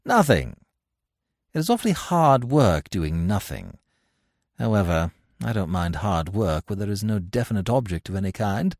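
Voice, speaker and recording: A flippant young gentleman, acted by a male reader, teasing and affected. His pitch swoops up and down through each phrase, and the sound is close and dry, as in an audiobook of a comic tale. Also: big pitch swings, normal speed, no echo. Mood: languid, wry.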